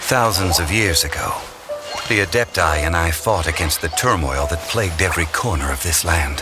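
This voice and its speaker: An ancient male deity living in human guise in a fantasy video game. His voice is deep, his phrasing formal and deliberate. He carries himself with calm dignity and quiet reflection, with music clearly playing behind him. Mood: grave, ceremonial. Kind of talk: scripted video game dialogue.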